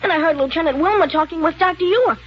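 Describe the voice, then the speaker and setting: A woman plays a plucky little boy in an old radio adventure. The voice is very high, eager and earnest, always politely mannered. It comes through muffled, with background noise. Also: racing. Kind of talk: 1930s radio serial acting.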